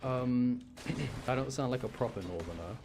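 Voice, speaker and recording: A man's voice: medium pitch, normal speed, noisy background. A man with a British accent chatting casually and rambling as he thinks aloud while commentating on a video game he is playing. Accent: British, generic English. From English Midlands, UK. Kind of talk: casual chat with gameplay commentary. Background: game music.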